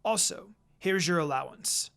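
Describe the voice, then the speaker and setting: A reader voicing a kindly old village elder who speaks in a gentle, measured, reassuring way. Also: mid-range voice, animated, vocal fry.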